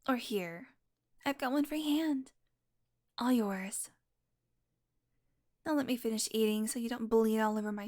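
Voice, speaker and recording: A woman's voice: fairly high pitch, darkish tone. A woman voicing a teasing, possessive vampire who dotes on her prey, speaking intimately and smugly to the listener in an audio roleplay. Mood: annoyed, softening. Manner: smug, flirtatious teasing with mock annoyance.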